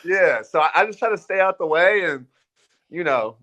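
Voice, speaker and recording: A man's voice: high-pitched, lively intonation, moderate pace, pushed and bright. A man chatting casually as a podcast guest, telling things in a rambling, anecdotal way. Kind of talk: casual chat.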